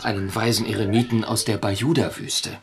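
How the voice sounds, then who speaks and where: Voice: mid-range voice, little pitch movement, brisk, background noise, close to an everyday voice. An actor in a radio play playing a dry, matter-of-fact assistant who takes notes in a clipped, businesslike way. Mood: brisk, obliging. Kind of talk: German radio play acting.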